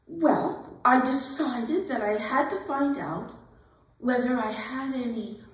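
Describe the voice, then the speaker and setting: High-pitched gushing debutante, echoing hall. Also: female voice. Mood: coy, flustered. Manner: frantic gaiety, exclamatory, dramatic pauses. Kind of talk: theatrical monologue, staged reading. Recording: very echoey hall, distant mic.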